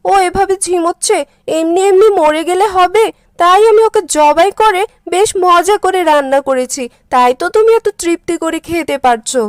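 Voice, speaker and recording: A woman's voice: very high voice, moderate pace, dry recording, clean studio sound. A woman voicing a foolish, melodramatic village wife with a whiny manner in a cartoon. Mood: pleased, naive. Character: foolish, weepy village wife, prone to wailing and scolding. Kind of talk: cartoon character dialogue.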